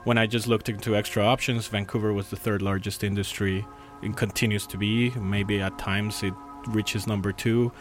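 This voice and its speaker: A man's voice: lowish voice, level tone, moderate pace, faint music behind. A man in his thirties from Colombia, speaking English as a filmmaker guest. He is friendly and chatty, rambling a little and reaching for words with fillers. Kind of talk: casual interview chat.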